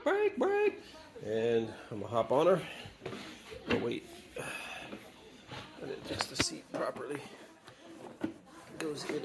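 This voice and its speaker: A middle-aged man narrating a home repair step by step, casual and joking as he thinks aloud while working in his garage. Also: medium pitch. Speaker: DIY video host.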